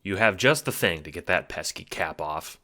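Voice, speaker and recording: Deep, bright-voiced man narrating wryly. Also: animated, moderate pace. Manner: wry, theatrical, sardonic. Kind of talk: scripted second-person audio drama narration.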